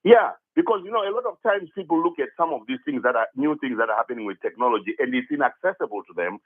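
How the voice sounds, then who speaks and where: A man's voice: mid-range voice, lively intonation, quick pace. A man from Zimbabwe calling in to a radio talk show about his app, talking eagerly with many restarts and repeated words. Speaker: caller, app founder. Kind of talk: phone-in radio conversation. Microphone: telephone. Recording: tinny phone line.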